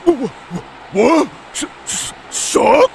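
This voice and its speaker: A man voicing a big, dim-witted male albatross henchman who stammers in a gravelly voice, for a video game cutscene, with game music behind. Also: very high voice, very animated, takes it slowly, slight background noise, exaggerated voice. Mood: flustered.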